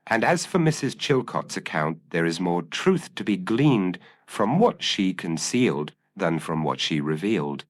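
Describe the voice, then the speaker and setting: A man playing a brilliant, impassive detective in a gothic audio mystery, calm, probing and precise. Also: deep voice, measured pace, few pauses, no room sound, full character voice. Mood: coolly certain.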